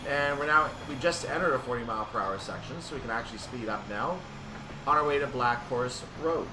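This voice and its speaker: A man giving casual commentary on a train simulator, thinking aloud and explaining in an unhurried way. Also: medium pitch. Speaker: gaming video creator. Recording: distant mic, clear background noise.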